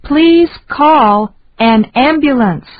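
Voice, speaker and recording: Woman reading very slowly, dull lo-fi. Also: high voice, expressive, dry recording. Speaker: English lesson reader. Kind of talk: slow, deliberate phrase reading for repetition.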